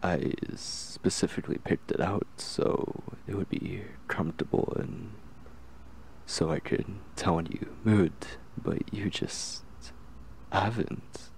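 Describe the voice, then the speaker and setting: A young man playing an obsessive yet tender, anxious kidnapper in an intimate ASMR roleplay, speaking softly and hesitantly in a gravelly, reassuring voice. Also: low-pitched, slight background noise, exaggerated voice. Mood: puzzled, concerned. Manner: hesitant, halting, gently reassuring.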